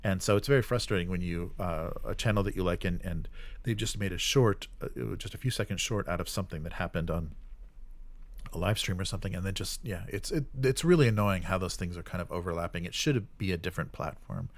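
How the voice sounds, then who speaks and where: Low-pitched man chatting casually on a stream. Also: quick pace, dry recording. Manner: hesitant, rambling, trailing off mid-sentence. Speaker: live stream host. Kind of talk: casual live stream chat.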